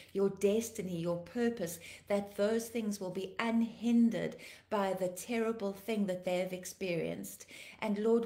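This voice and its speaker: A woman in her fifties, a pastor, sounding tired and a little croaky, warmly greeting viewers by name and praying fervently on a home live stream. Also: mid-range voice, reverberant. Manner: fervent praying, repeating declarations. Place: living room at home, indoors. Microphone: laptop webcam, video call framing.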